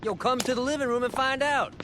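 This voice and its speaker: An actor playing a brash, impatient gangster lieutenant with profane, slangy street talk in a video game cutscene. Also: male voice, very high voice, fast talker, noisy background. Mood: relaxed.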